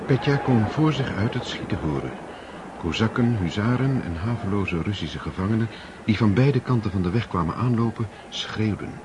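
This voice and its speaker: A man's voice: fairly low pitch, expressive, normal speed, noisy background. A man narrating a radio drama in scripted literary storytelling.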